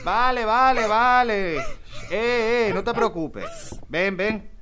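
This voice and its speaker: A man's voice: high-pitched, slow. A man playing a foul-mouthed truck driver, cursing and exclaiming, in an audio drama with noise behind. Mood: muttering. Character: foul-mouthed male truck driver, gruff but kind.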